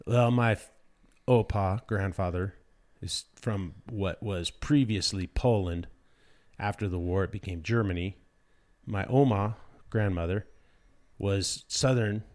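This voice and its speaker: Podcast guest: American man, lower-pitched, deadpan humor, blunt slangy delivery in casual conversation. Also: a little slow. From United States. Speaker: podcast guest, dirt bike rider. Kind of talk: casual chat.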